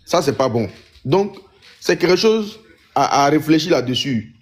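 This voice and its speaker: A man's voice: fairly high pitch, animated, a little slow. An Ivorian man with an Ivorian accent argues in an impromptu debate, insistent and repeating his phrases for emphasis. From Ivory Coast. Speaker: panel debater in a live online discussion.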